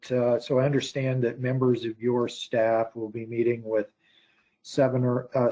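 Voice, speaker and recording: An American man moderating a conference call, relaying questions politely and hesitantly in measured phrases. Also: medium pitch, warm tone. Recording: dull conference call audio.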